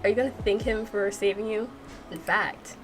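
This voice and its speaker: A woman's voice: medium pitch, steady tone, normal speed. A woman hosting a gaming channel, casually reacting and narrating with playful, chatty asides. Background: music.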